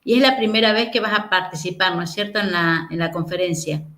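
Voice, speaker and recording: A woman's voice: lowish voice, brisk, fairly bright tone. Echoey South American woman interviewer. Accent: South American voseo Spanish. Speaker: interviewer, conference host. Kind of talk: interview hosting. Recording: echoey room sound.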